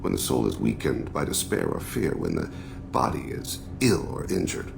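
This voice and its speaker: Gravelly, extremely deep alien assassin. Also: male voice, sing-song, background noise, heavily acted. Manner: measured, formal, introspective phrasing. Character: solemn alien assassin, grieving, regretful estranged father. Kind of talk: video game dramatic dialogue.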